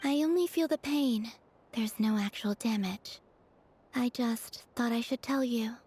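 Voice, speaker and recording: A woman voicing a gentle, solemn young moon maiden in a video game, soft, earnest and careful in her speech. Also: on the high side, unhurried, a little hiss or hum, close to an everyday voice. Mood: shy sincerity.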